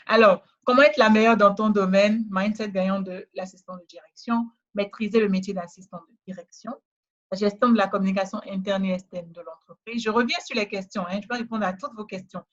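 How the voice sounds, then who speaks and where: A woman trainer giving a persuasive, repetitive sales pitch in a webinar, speaking straight to the listener, with a West African French accent. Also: steady tone, a little fast, lo-fi sound. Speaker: trainer selling a course.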